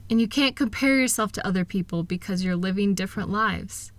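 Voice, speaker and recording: A woman playing a kind, reassuring wife who gently and earnestly encourages her partner in an intimate one-sided roleplay audio. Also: mid-range voice, brisk, close to an everyday voice. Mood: understanding, reassuring.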